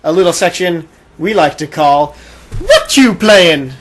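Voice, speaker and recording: Guy doing casual gaming podcast banter, kinda high voice, teasing, bit of noise in the room. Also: sing-song, a little slow. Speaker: podcast co-host. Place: one-room apartment.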